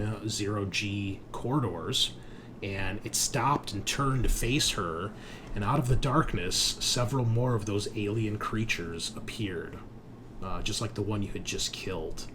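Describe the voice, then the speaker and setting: A man's voice: fairly low pitch, background noise. A man working as game master, recapping a tabletop game session in an informal, conversational storytelling way.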